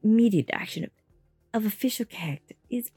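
A woman voicing a smooth, evasive older male official who talks slowly and overly politely. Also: mid-range voice, expressive, mellow, no echo, faint music behind. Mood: placating.

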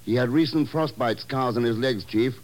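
Cool, measured secret agent played by a man. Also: mid-range voice, lightly acted. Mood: measured, probing. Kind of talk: 1950s radio drama acting. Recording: slightly noisy 1950s radio recording.

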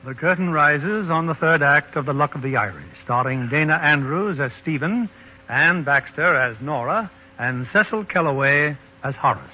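A man's voice: a little high, lively intonation, moderate pace, noisy background. A man hosting a 1940s radio show, presenting and narrating in a formal, polished, scripted manner. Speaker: host and producer. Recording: dull 1940s radio broadcast with music.

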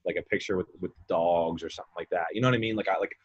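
Man, late twenties, very low voice, husky, casual rambling talk, repeats himself. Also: racing, lo-fi sound. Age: 20s. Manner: rambling, repeats words, many fillers. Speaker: guest, mindset coach. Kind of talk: casual podcast conversation.

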